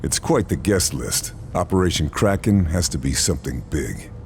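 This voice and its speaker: A man's voice: a bass voice, expressive, moderate pace, darkish tone, slight background noise. A man playing a hardened, resolute lone sniper agent in a video game, terse and matter-of-fact in his narration. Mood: grim, resolute. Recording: game audio with music behind.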